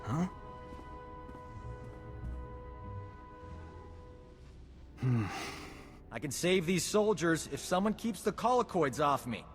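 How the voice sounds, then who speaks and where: Wry rebel field doctor in reverb. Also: male voice, on the high side, very animated, normal speed, soft background music. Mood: nervous bravado. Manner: wry, flippant. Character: wisecracking, dedicated male rebel field doctor. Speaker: Resistance field doctor character. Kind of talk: video game cutscene acting.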